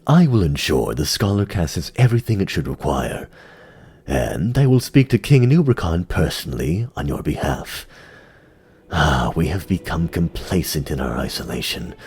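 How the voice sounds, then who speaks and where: A man playing a grave giant spider lord commander in a fantasy audio drama, speaking in a slow, measured, brooding way. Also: very deep voice, very animated, a little mellow, husky, voice pushed down, exaggerated voice.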